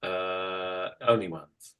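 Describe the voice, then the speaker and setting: British male guest, slightly low register, casual chat, hesitant short replies, dry jokes. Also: fairly even intonation, drawn-out words, a bit bright, clear, resonant tone. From United Kingdom. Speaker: interview guest.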